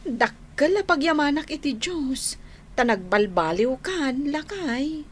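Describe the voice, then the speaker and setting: An actress playing a caring, admonishing wife in a radio drama. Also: female voice, high-pitched, expressive, slow, a little hiss or hum. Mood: thankful.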